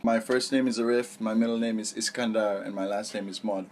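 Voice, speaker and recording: A man's voice: lowish voice, steady tone, brisk, a little hiss or hum. Rambling young man chatting to his vlog. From born in Malaysia, raised abroad. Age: young adult. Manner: rambling, informal, self-correcting, peppered with "you know" and "like". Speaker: vlogger. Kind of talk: casual storytime vlog chat.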